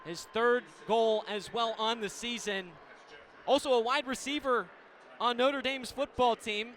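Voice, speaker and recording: Very high-pitched American man calling play-by-play. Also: unhurried. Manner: clipped descriptive phrases, bursts into excited calls. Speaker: radio play-by-play announcer. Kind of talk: live sports play-by-play commentary. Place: indoor stadium broadcast position. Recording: live radio with steady venue noise.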